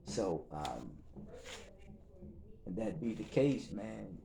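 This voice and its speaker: A man leading a recovery group session in a calm, measured way, with encouraging murmurs and thoughtful pauses. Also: lowish voice, creaky, crowd noise, low-quality recording. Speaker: facilitator and reverend.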